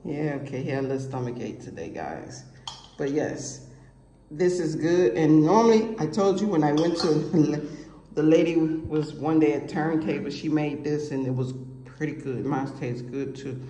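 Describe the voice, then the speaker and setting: A woman's voice: low voice, animated, normal speed, dark tone. A woman chatting casually to her viewers from behind the camera in her home kitchen, rambling through what she cooked. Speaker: cooking vlogger. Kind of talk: casual cooking vlog chat. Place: home kitchen table, indoors. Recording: echoey room with faint hiss.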